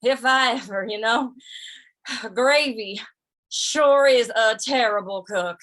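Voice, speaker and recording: A woman's voice: on the high side, slow, fairly bright tone. A woman playing a sly, good-natured western drifter in a radio play, folksy and hesitant, often repeating words. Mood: amused, confiding. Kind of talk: old-time radio western acting.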